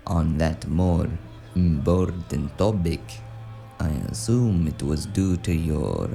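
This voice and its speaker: Male actor as suave underworld boss, low gravelly voice, formal, deliberate, quietly menacing, noir audio drama. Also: exaggerated voice. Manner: formal, deliberate, silkily threatening.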